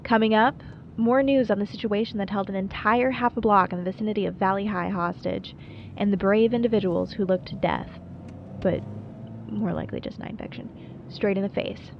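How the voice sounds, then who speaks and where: A woman's voice: medium pitch, brisk, gravelly, highs cut off, a little hiss or hum, close to an everyday voice. A woman playing a wry, playful small-town late-night radio host in a scripted monologue, chatty and dryly self-deprecating. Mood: wry, breezy. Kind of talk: scripted radio show monologue, audio roleplay.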